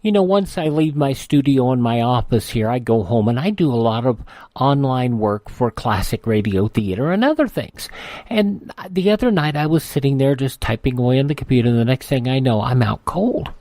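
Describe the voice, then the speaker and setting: A male radio host with a mid-pitched voice. He delivers sponsor reads and show announcements conversationally, chatty and folksy, recorded very dry and close to studio sound. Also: animated, moderate pace, dark tone, dry recording.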